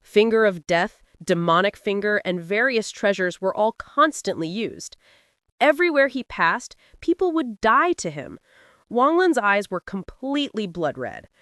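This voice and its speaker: A woman's voice: medium pitch, big pitch swings, measured pace, a bit bright, dry recording, clean studio sound. A woman reading a fantasy novel aloud as an audiobook narrator, with intense, dramatic storytelling.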